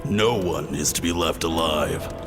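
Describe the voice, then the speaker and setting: A man's voice: rumbling low, unhurried. A man playing a cruel, menacing military captain with a mocking manner in a dramatic video game scene. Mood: cold, contemptuous. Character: cruel commanding military captain hunting villagers. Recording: long hall reverb under loud music.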